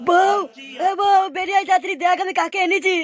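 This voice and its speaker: Woman voicing a very high-pitched, excitable cartoon husband. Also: quick pace. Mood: eager. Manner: emphatic, repetitive. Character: excitable, flattering village husband, cartoon man. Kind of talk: cartoon dialogue. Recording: noisy track with clicks.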